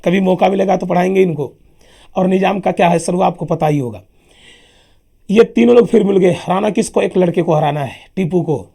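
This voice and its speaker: A man with a sore throat teaching a lively history lesson for exams, emphatic and chatty, often calling his listeners sir. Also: sits high, a little fast. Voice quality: husky, gravelly. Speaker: exam coaching teacher. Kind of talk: lively classroom history lecture.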